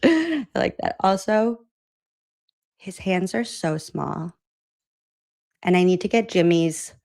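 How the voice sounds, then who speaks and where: A woman's voice: mid-range voice, a little mellow. A woman from Massachusetts hosting a political commentary show, chatty and sarcastic, laughing often. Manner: sarcastic, incredulous. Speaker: host.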